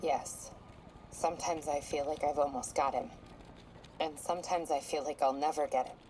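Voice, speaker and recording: A woman playing a low-voiced, frustrated police captain. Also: steady tone, normal speed, mellow, slight background noise, natural voice, low-quality recording. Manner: clipped, intense, frustrated. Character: tough female police captain, frustrated and driven.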